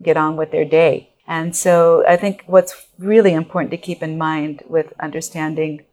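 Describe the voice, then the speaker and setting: A woman's voice: low-pitched, little pitch movement, moderate pace, a little mellow. A middle-aged woman from Japan, a guest expert on organizational culture, explaining her ideas in a thinking-aloud interview manner with restarts. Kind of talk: conversational interview answers.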